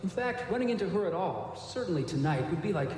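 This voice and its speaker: A man's voice: sits high, very animated, fast talker, a little mellow. A man performing dramatic scripted narration as an ensemble storyteller in a live radio play. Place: live on stage in a large hall. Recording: very echoey hall, music underneath.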